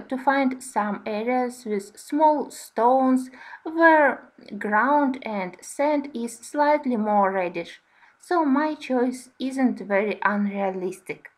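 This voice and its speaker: A woman, a native Russian speaker, narrating a tutorial voice-over in careful, slightly halting non-native English. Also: fairly high pitch, rough recording. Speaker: host of a coloring tutorial channel.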